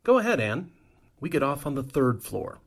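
Male actor as sly, falsely friendly henchman, mid-range voice with animated intonation, vintage radio thriller, slight background noise. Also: mid-range voice, moderate pace. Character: smooth-talking, duplicitous male henchman posing as friend. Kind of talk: 1940s radio drama acting.

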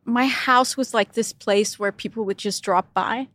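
A middle-aged woman from Denmark, a podcast guest chatting in a warm, reflective way. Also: fairly high pitch, normal speed. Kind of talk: casual interview conversation.

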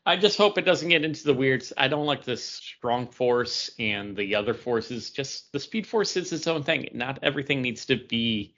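A man's voice: mid-range voice, animated, moderate pace, hardly any pauses, fairly bright tone. A man co-hosting a casual podcast about pop culture, talking in a rambling way and often interrupting himself. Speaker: podcast co-host. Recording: dull lo-fi audio.

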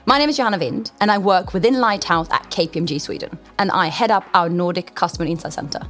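A young woman who heads a client center, talking in an animated, conversational way and laughing easily. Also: lowish voice, quick pace. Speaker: head of a client insight center. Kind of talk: recruitment video interview. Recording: soft music bed behind.

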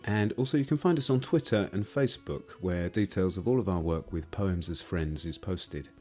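A man's voice: low voice, normal speed, a little mellow, faint music behind. A man, a podcast host reading an announcement to listeners.

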